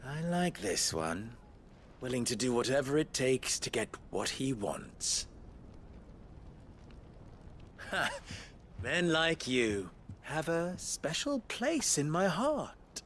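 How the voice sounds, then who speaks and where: An actor in a cinematic video game playing a young merchant boss who is sly, smug and condescending, with mocking, mannered rhetorical questions. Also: male voice, mid-range voice, very animated, slow, a bit bright, slight background noise. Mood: gloating.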